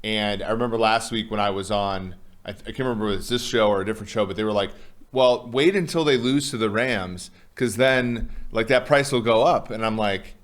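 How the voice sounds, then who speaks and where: A man co-hosting a casual sports chat, talking loudly and rambling, with many restarts and fillers. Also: fairly low pitch, brisk. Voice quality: loud voice. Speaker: co-host.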